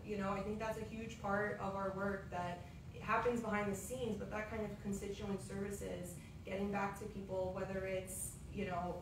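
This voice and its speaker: A woman in her thirties, a city commissioner giving an earnest opening statement at a candidate forum with frequent ums. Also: mid-range voice. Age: 30s. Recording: echoey hall, far from mic, noisy.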